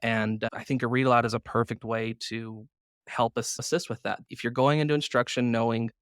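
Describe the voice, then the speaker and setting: A man's voice: medium pitch, steady tone, a little fast, smooth, no echo, clean studio sound. A man hosting a conversational interview, affirming his guest and thinking aloud as he reasons. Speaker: podcast host.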